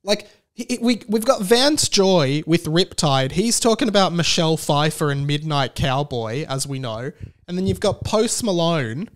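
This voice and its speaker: A man hosting a casual comedic solo podcast, rambling with stammered restarts and animated, incredulous emphasis. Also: fairly high pitch. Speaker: podcast host.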